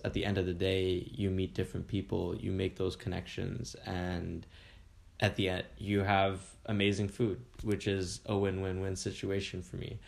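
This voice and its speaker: A man's voice: deep voice, creaky. A man, a food blogger chatting upbeat and rambling as a podcast guest. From Canada. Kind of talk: casual interview chat.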